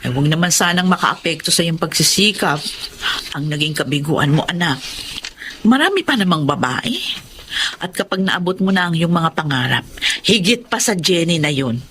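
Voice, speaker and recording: A caring, earnest middle-aged Filipina mother in a radio drama. Her pitch moves expressively, over a faintly noisy track. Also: expressive, moderate pace, fairly bright tone. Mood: consoling.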